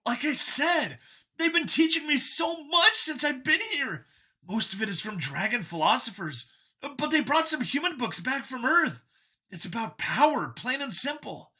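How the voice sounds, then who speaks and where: Man voicing a shrill, grandiose young cyborg fanatic. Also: lively intonation, unhurried, husky, heavily acted. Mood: smug, zealous. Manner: grandiose, emphatic, forceful. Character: fanatical young cybernetic clone, smug, grandiose male machine.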